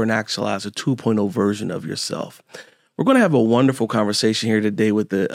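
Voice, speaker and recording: Guy hosting a chat show, lowish voice, dry close sound, keeps hyping the guest. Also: no room sound. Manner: warm and encouraging, repeats himself, filler words. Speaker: host. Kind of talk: casual interview chat.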